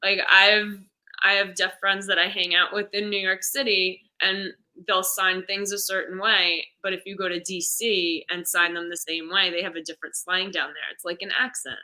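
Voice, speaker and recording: Female, American, interview guest. Very bright, forward voice, mid pitch, informal explanatory delivery. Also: flat delivery, moderate pace, rough recording. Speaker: guest, sign language interpreter and theater stage manager. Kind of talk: casual explanatory interview chat.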